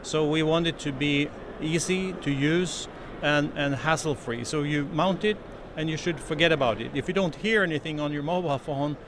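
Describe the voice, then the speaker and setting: A man's voice: a little high, moderate pace, few pauses. A middle-aged man from Norway with a Norwegian accent, explaining a product earnestly in a trade show interview. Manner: earnest, slightly non-native phrasing with restarts. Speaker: company representative. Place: exhibition stand indoors. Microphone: handheld interview mic. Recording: noisy trade show floor.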